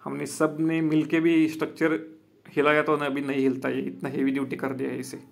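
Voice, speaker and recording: Installer showing off his work: a man, even-toned, rough and gravelly, outdoors with a phone mic. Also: on the high side, fairly quick. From Maharashtra, India. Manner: plain, repetitive, addresses viewers as friends. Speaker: solar installer and business owner. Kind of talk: walkthrough video commentary. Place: outdoor rooftop.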